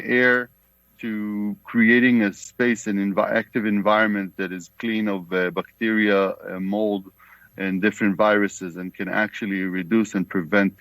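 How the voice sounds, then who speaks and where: A man with a heavy Israeli accent giving formal testimony over a video call, explaining things in a halting way. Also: fairly low pitch, fairly bright tone, no echo, low-quality recording. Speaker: company CEO giving testimony. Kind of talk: formal testimony at a remote hearing.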